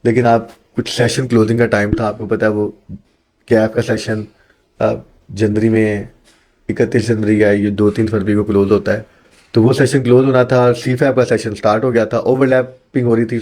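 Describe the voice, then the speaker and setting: A man's voice: lowish voice, a little mellow. Earnest Pakistani man teacher. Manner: earnest, explanatory, mixes in English phrases. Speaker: accounting teacher, guest. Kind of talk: podcast interview answers.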